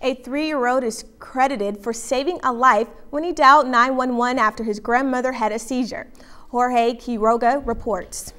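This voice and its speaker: A woman reads the headlines as a news anchor. Her voice sits high and sounds bright, and she keeps a crisp, even newsreader delivery throughout. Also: moderate pace. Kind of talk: scripted news reading.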